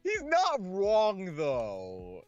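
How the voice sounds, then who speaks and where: A man's voice: sits high, wildly swooping pitch, very slow, lo-fi sound. Guy doing a lets play, reads out story then reacts all slangy n sweary, swingy voice, music behind. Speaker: let's-play host reading a visual novel aloud. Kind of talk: casual co-host chat and narration of a game.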